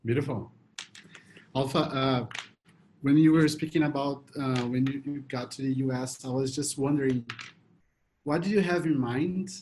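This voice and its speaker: A man's voice: mid-range voice, moderate pace, long pauses, a little mellow, a little hiss or hum. A man co-hosting an interview, asking curious, loosely phrased questions. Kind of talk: casual interview chat.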